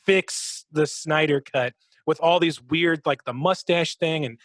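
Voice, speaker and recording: A man's voice: on the high side, unhurried, no room sound. A man co-hosting a podcast in casual chat, talking in an opinionated, emphatic way with plenty of swearing. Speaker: podcast co-host.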